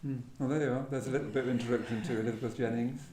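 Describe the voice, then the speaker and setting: A man with a mid-pitched voice hosts a literary talk in an echoey room with faint noise behind him. His phrasing is tentative and informal, full of small hesitations. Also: fairly even intonation, very fast, dark tone. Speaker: host of a poetry event. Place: echoey indoor room at a live event.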